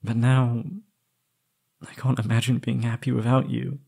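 A young man playing an anguished, lovesick former captive in an intimate roleplay audio, halting and pleading. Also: mid-range voice, monotone, vocal fry, darkish tone. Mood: relieved, tender. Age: young adult.

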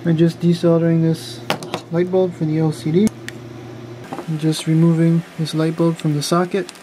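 A man walks through a car electronics repair step by step, calm and methodical. His voice is mellow and fairly monotone, with a faint hiss behind it. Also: on the high side, normal speed, rough recording. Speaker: car electronics tutorial maker. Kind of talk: step-by-step how-to narration.

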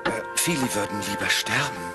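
An actor playing a solemn, courteous gentleman charity collector in an animated film, speaking formally. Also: lowish voice, brisk, loud music behind the voice, close to an everyday voice. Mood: dismayed.

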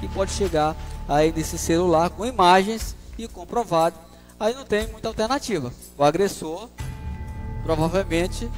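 Man, thirties, northern brazilian accent, news anchor, high voice, lively swooping delivery, faint music under him. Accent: Brazilian Portuguese, northern Pará. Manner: animated, rhetorical questions to viewers. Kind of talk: opinionated news commentary. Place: studio with virtual set.

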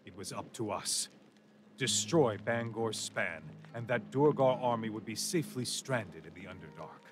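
A man narrating a fantasy game story in a grave, measured tone. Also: fairly low pitch, animated, natural voice. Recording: music bed.

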